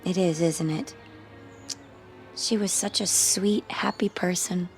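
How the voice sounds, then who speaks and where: A woman playing a sweet, curious teenage girl in a radio drama, with a gentle, wondering manner. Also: on the low side, fairly even intonation, slow, faint music behind. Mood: gentle teasing.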